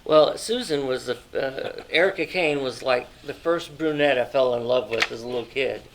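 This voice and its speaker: A man in his thirties hosting an interview in a small studio, eager and chatty with quick agreements. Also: medium pitch, fairly bright tone, rough recording. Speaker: talk show host. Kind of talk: casual interview. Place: small studio set with couch.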